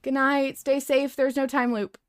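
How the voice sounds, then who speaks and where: A woman's voice: sits high, flat delivery, a little slow. A woman hosting a podcast in casual chat, with a playfully sarcastic manner.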